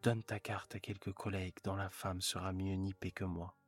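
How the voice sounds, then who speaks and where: A man's voice: sits low, level tone, moderate pace, faint music behind. A man voicing a discontented, bitter young wife who speaks in a plaintive, resentful way.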